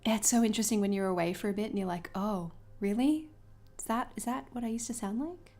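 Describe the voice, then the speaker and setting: Australian woman, livestream host, fast, chatty, giggly, mid-pitched. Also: a little mellow. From northern New South Wales, Australia. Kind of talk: casual chat reading viewer comments while crafting.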